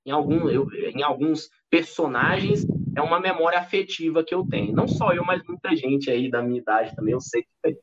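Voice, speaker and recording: A Brazilian man in his thirties, a guest in an interview, talking in a chatty, animated way with frequent restarts. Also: fairly high pitch, fairly quick, few pauses. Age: 30s. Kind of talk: casual interview talk. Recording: dull lo-fi audio.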